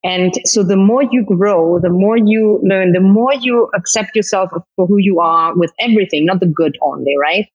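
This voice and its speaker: A woman's voice: medium pitch, normal speed, few pauses, slightly rough, dull audio. A woman with a German accent, talking openly and reflectively as a guest on a podcast, with many restarts and fillers. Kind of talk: podcast conversation.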